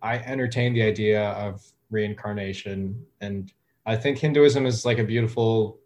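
A man in his thirties, a guest talking casually in an interview, with a relaxed, hesitant way of talking full of fillers and restarts. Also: lowish voice, normal speed, highs cut off. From United States. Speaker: guest, music producer.

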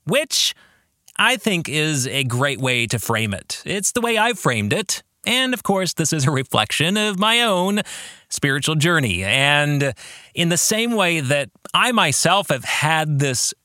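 Slow, animated man, bright voice. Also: a little high, dry recording, studio quality. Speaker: podcast host and author. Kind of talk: reflective solo podcast commentary.

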